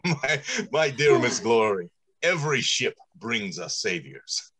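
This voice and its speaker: Radio drama factory boss, male actor: genial idealist, declamatory, husky, very lively intonation. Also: mid-range voice, slow pace, full character voice. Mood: amused. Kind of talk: old-time radio drama acting.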